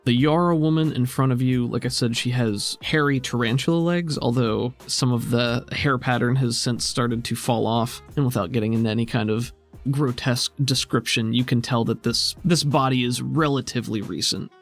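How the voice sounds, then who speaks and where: Male game master, mid-pitched, descriptive and conversational, faint music underneath. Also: fairly even intonation, normal speed. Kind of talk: narrating a tabletop role-playing game, conversational.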